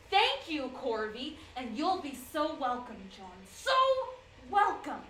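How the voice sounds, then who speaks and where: A woman's voice: high voice, sharp tone, exaggerated voice. A woman acting a domineering, belittling character in a dramatic monologue, with a scornful, condescending and theatrically emphatic delivery. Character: domineering, belittling woman berating a man she controls. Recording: roomy echo, faint background noise.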